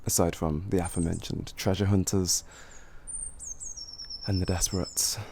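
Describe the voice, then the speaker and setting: A man's voice: low-pitched, flat delivery, dark tone, a little hiss or hum. A man playing a cocky, flirty, streetwise bandit in an improvised fantasy roleplay, with teasing, theatrical delivery. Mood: condescending, warning. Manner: teasing, theatrical, drawn-out phrasing with chuckles.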